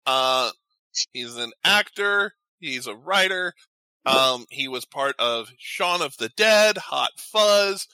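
Bright, forceful male radio host. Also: mid-range voice, animated, slow pace, clean tone, dry recording, rough recording. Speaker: radio show host reading trivia. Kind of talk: casual chat hosting an entertainment quiz.

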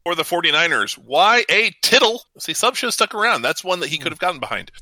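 Guy doing casual sports debate, animated pitch, super bright voice, close dry sound. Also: quick pace, dry recording. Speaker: co-host reading out matchups for a sports debate.

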